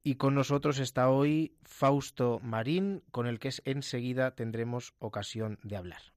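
A man's voice: mid-range voice, steady tone, normal speed, a little mellow. A young man with a Castilian Spanish accent hosting a conversational radio interview, effusive and often repeating words as he goes. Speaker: radio host, seminarian. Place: radio studio. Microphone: studio microphone.

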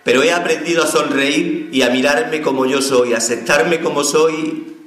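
A man's voice: fairly high pitch, fairly even intonation, moderate pace, fairly bright tone, roomy sound, soft background music. Emotional man giving heartfelt testimony. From Spain. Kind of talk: personal testimony.

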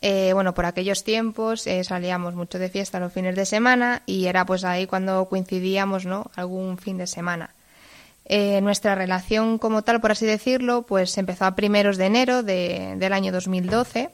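Woman, late 20s, guest testimony, gentle halting delivery. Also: mid-range voice, steady tone. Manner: gentle and halting, many fillers. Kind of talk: personal testimony.

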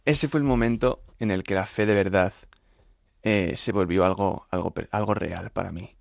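A man's voice: low-pitched, moderate pace, gravelly, no room sound, clean studio sound. A young man with a Madrid accent giving an informal personal account as an interview guest, often repeating and restarting his phrases. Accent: Madrid Spanish. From Madrid, Spain. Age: young adult. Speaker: interview guest, composer and singer studying at university. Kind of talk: informal spoken testimony in an interview.

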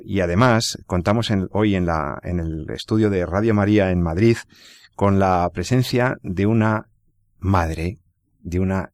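A man hosting a radio program from a studio, formal and measured. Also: deep voice, darkish tone, dry recording. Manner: formal, measured presenter with some restarts. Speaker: radio host.

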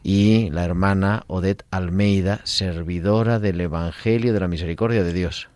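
A man hosting a radio interview, asking chatty, lively questions. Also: deep voice, moderate pace, dry recording. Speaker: radio host.

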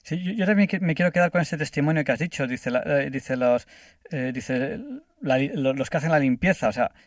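A man's voice: fairly high pitch, fairly quick, no echo, clean studio sound. A man hosting a radio interview, reflective and affirming, with some hesitations. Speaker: radio host.

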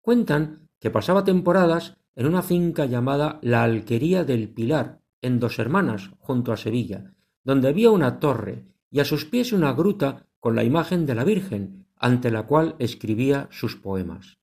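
A man hosting a religious radio program, introducing segments in a measured, devout manner. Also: medium pitch, lively intonation, creaky, darkish tone. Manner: measured, devout announcer delivery.

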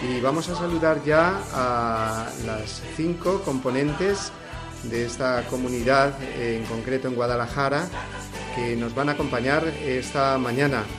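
A man with a Spain Spanish accent hosts a Sunday religious radio show at a middling pitch. He speaks in a measured, welcoming way and often pauses on "eh" fillers. Soft music plays faintly behind him. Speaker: radio host.

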